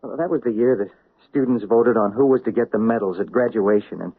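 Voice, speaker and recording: A man's voice: on the low side, fairly quick, breathy edge. An actor playing a flustered, stammering man under questioning in a 1970s radio drama. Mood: confessing. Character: nervous, guilty-feeling committee member. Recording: tinny old radio broadcast.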